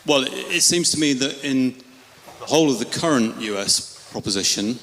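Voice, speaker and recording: A man at a debate, posing measured, pointed questions. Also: mid-range voice, expressive, fairly bright tone. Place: large debating hall. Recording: reverberant hall recording.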